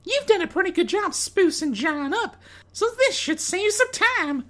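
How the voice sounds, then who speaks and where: A woman voicing an unhinged, excitable teenage anime girl in a theatrical, melodramatic way for a fan fiction reading. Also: very high voice, measured pace, exaggerated voice. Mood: gleeful, showy.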